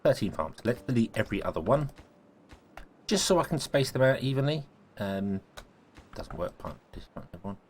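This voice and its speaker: A man, casually thinking aloud as he plays a building game, his voice a little croaky from a cold. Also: medium pitch, big pitch swings, moderate pace, a little hiss or hum. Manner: thinking aloud, rambling, self-correcting. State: croaky, sneezy voice. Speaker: gaming video host. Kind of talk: casual let's-play commentary.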